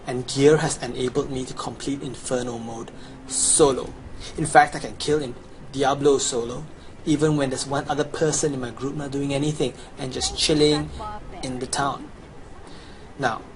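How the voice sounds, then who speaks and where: Man, Chinese accent, young adult, boastful sales pitch, desk mic, faint background noise. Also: low-quality recording. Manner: boastful, salesy, walks through gear stats. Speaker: gamer promoting a gold guide. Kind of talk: scripted promotional voice-over with gameplay.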